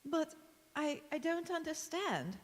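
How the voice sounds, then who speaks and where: A woman's voice: high voice, sing-song, takes it slowly, heavily acted. A woman playing a prim, martyred, meddling older mother-in-law in an old-time radio sitcom. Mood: taken aback. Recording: echoey hall, live stage performance.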